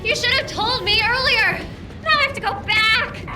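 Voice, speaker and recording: A brisk high-pitched operator. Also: female voice, roomy sound. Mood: exasperated. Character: brisk young woman field operator. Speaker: operator, commander radio voice. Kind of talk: game cutscene dialogue. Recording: distant voice under loud music.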